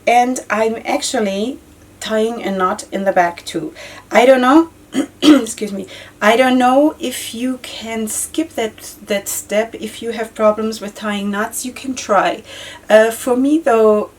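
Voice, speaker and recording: A woman's voice: a little high, slow, far from the mic. A woman in her thirties with a slightly strained voice, chatting casually through a step-by-step head-wrap tutorial, with lots of fillers and repeated words. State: losing her voice, occasional cough. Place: indoor studio with floral backdrop.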